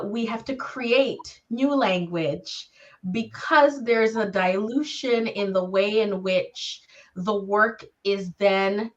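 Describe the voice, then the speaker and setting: Slow-talking woman, slightly muffled sound. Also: mid-range voice, fairly bright tone. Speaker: panelist. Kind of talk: reflective panel discussion.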